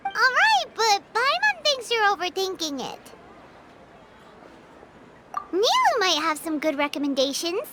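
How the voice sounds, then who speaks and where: Squeaky, perky little fairy girl. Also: sing-song, normal speed, very noisy. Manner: refers to self in third person, exclaiming. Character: tiny childlike floating fairy companion girl, perky and chatty. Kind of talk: video game character dialogue. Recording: loud game music behind.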